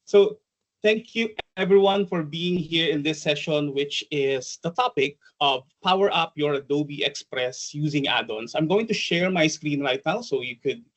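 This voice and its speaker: Chatty Filipino man presenting a workshop. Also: on the high side. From Philippines. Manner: chatty, hesitant with many ums and uhs, laughs easily. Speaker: trainer and presenter. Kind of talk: online conference workshop presentation.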